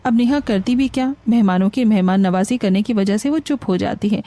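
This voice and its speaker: A woman's voice: medium pitch, fairly quick, dark tone. A woman narrating a scripted family story.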